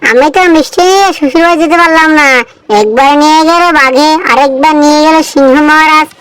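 A woman's voice: high voice, fast talker, fairly bright tone, slight background noise, low-quality recording. A woman voicing an anxious, deferential fox husband in a cartoon, pleading and fretful. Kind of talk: cartoon character acting.

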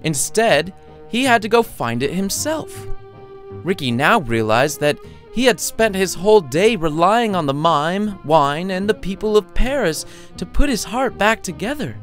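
A man's voice: sits high, big pitch swings, unhurried, a bit bright, faint music behind. A man reading his own short story as scripted audiobook narration, with a wry, playful storytelling manner.

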